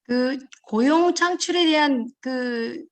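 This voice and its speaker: A woman who heads a fisheries cooperative, answering a panel discussion over a video call in an earnest, measured way. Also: high-pitched. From Jeju Island, Korea. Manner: earnest, measured, with fillers and restarts.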